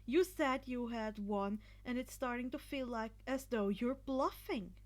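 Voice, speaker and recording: A woman voicing a haughty, menacing teenage boy rival with drawn-out, theatrical delivery in a fan fiction audiobook. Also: on the high side, lightly acted. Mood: exasperated. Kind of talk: acted fan fiction dialogue.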